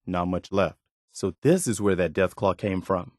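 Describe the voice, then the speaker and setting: An actor playing an earnest, dutiful militia soldier in a post-apocalyptic video game, speaking in a plain, folksy way. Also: male voice, sits low, moderate pace, no echo, studio quality, close to an everyday voice. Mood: earnest, wary. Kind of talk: video game companion voice lines.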